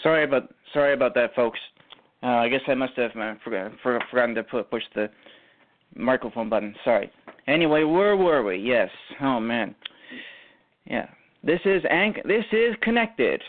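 Male host, mid-pitched, formal and elaborate announcer phrasing that stumbles now and then, phone-quality audio. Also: animated, moderate pace, a little hiss or hum. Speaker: radio show host. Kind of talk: live scripted show introduction. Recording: tinny phone-like internet radio line.

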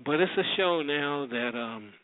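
A man's voice: fairly high pitch, animated, measured pace, no room sound, phone-quality sound. A man hosting a radio show, giving unscripted casual commentary and circling back over his points.

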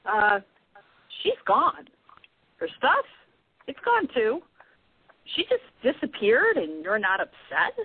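Woman acting a snippy, animated, husky-voiced sister. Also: on the high side, slow pace, pauses often, dry recording, heavily acted. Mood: bewildered, indignant. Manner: sarcastic, exclamatory. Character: snippy, sceptical young woman, sister and friend. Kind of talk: amateur radio play acting. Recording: husky-sounding tinny phone-like line.

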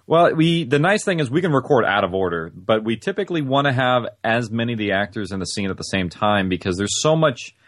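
A man's voice: medium pitch, a little fast, few pauses, dry recording, clean studio sound. A man being interviewed on a podcast, talking casually in a loose, rambling way with many fillers. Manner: relaxed, rambling, heavy use of "like". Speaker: podcast guest, audio drama creator.

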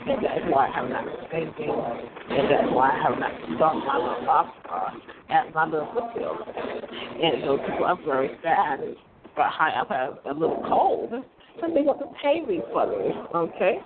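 Hoarse rambler on a tinny phone line. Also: medium pitch, very animated, normal speed. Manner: rambling, repeats phrases, laughs often. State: hoarse from a cold. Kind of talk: rambling monologue. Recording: phone-like line with loud crowd noise.

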